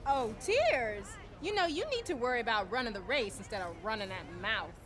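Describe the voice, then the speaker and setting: A young female street racer from a video game, guarded and needling. Her voice sits fairly high, and heavy engine and street noise runs behind it. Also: very animated, fairly quick. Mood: dry teasing. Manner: dry, needling banter.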